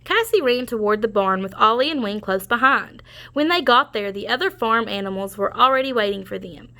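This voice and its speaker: A woman reading a children's story aloud, pausing to explain things to young listeners. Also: a little high, lively intonation, pushed and bright. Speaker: storybook reader. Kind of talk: children's read-aloud narration.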